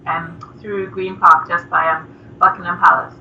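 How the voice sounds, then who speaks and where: Bright-voiced young woman on a lo-fi call. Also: fairly low pitch, flat delivery, moderate pace, gravelly. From Nigeria. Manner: soft-spoken, giggly, with many fillers. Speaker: musician guest. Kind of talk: casual interview chat. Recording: distant, dull lo-fi remote call with faint noise.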